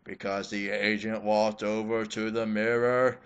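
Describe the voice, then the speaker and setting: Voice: medium pitch, little pitch movement, unhurried, smooth, highs cut off. Theatrical, sarcastic dramatic reader. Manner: theatrical, exasperated, breaks off for snarky remarks. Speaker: reader of fan fiction. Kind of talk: mock dramatic reading with sarcastic asides.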